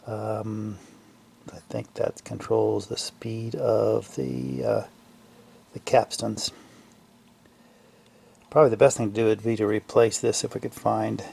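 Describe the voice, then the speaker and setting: A man giving casual, unscripted repair commentary in a gravelly voice, thinking aloud haltingly in trailing fragments. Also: lowish voice, a little mellow, slight background noise. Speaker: hobbyist restorer narrating a repair video.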